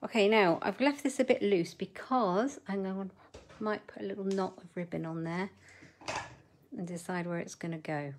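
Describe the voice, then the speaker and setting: A woman's voice: mid-range voice, normal speed, slight background noise. A middle-aged woman hosting a live crafting demo from her desk at home, chatting with viewers and muttering asides as she works. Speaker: papercraft host.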